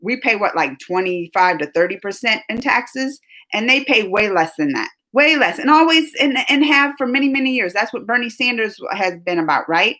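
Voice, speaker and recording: A woman's voice: fairly high pitch, animated, moderate pace, sharp tone. A woman in her thirties hosting a casual live stream, talking in an emotional, rambling way with many restarts and fillers. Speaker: livestream host.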